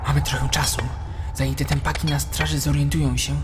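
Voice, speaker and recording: A man playing a plotting prisoner and loyal comrade in a fantasy audio drama, speaking with hushed urgency. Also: on the high side, level tone, fairly quick, natural voice. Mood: secretive, determined. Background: loud music.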